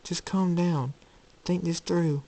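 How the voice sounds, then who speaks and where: Loving fantasy royal mother, acted by a man: higher-pitched, soft, pleading, full of concern. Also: fairly even intonation, slow pace, gravelly, exaggerated voice, rough recording. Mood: worried. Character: gentle loving pony princess mother, worried.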